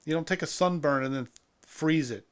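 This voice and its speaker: A man's voice: a little high, moderate pace. A middle-aged man co-hosting a podcast, reminiscing in casual, emphatic talk and repeating his phrases for effect. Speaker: podcast co-host.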